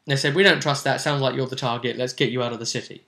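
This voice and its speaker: A man with a bright, forceful voice at a middle pitch talks as a game master. He recaps a tabletop session casually, with a jokey, theatrical streak. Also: steady tone, fast.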